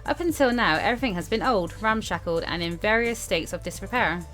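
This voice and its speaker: Female let's-play host, mid-pitched, informal, reading on-screen text aloud with chatty asides, music behind her. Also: lively intonation, a little fast, a bit bright, low-quality recording. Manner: informal, stumbles over read text and comments on it. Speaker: gaming video host.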